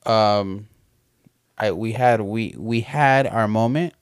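A man in his fifties co-hosting a casual podcast chat, relaxed and playful, with frequent short agreements. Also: lowish voice, slow, smooth, no room sound, studio quality. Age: 50s. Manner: relaxed, playful, backchannels a lot. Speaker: podcast co-host.

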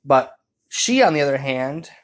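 A man's voice: mid-range voice, normal speed. Young male co-host, chatty and informal, a playful rambling storyteller. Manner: jokey, rambling, animated retelling with quoted asides. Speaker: co-host telling the couple's story.

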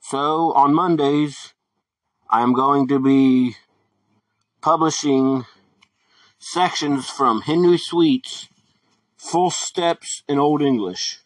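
A man hosting a podcast, giving a scripted introduction in a measured, deliberate way. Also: medium pitch, no room sound, low-quality recording. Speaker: podcast host.

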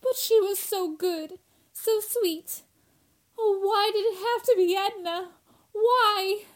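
A woman acts out a fretful, grief-stricken young woman in a radio horror play. Her voice is extremely high and her delivery slow, with a pleading, plaintive edge. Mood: tearful grief. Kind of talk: radio drama horror acting.

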